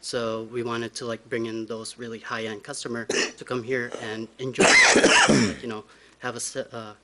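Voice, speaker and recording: A nervous young man giving informal, halting public comment at a hearing. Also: mid-range voice, level tone, sharp tone. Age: young adult. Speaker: business applicant speaking at a public hearing. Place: large council chamber, indoors. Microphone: podium microphone.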